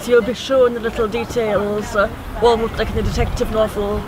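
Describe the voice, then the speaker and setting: A man from Trinidad talks poetry in a high, evenly pitched voice, weighing each thought with measured care. He is recorded outdoors by a city waterfront. Traffic hums clearly behind him. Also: flat delivery. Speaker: poet. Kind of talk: reflective conversation.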